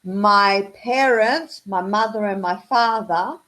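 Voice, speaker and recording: A woman's voice: mid-range voice, takes it slowly, a bit bright. A woman with an Australian accent, tutoring English over a video call in a patient, encouraging way that draws out questions. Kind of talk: online one-on-one conversation lesson.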